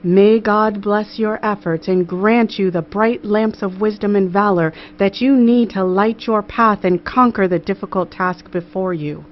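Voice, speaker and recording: A woman voicing an earnest, gracious queen giving a formal, measured address to her council in an audiobook. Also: mid-range voice, natural voice. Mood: earnest, solemn. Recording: muffled audio with clear background noise.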